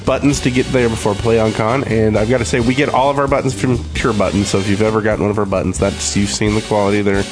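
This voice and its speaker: A man hosting a podcast in casual, somewhat halting conversation. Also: lowish voice, fairly even intonation, music in the background. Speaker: podcast host. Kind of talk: casual chat.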